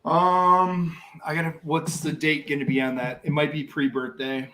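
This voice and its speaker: Joking, loud streamer man in echoey room. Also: a little high, level tone, normal speed. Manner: loud, joking, swears freely, repeats words. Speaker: host of a bourbon livestream. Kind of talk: casual group chat on a livestream. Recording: echoey room with faint background noise.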